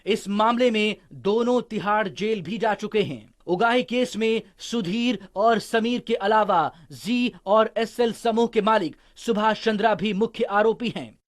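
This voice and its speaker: A man's voice: sits high, a little slow, hardly any pauses. A man reading a scripted voice-over for a television news report.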